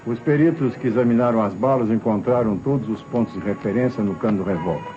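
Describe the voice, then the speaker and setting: Man playing a mellow Brazilian police officer. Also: lowish voice, fast, soft background music, lightly acted. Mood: matter-of-fact. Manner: deferential, precise. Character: respectful, matter-of-fact male police officer reporting evidence. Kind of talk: old Brazilian radio drama acting. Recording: dull, slightly noisy vintage radio recording.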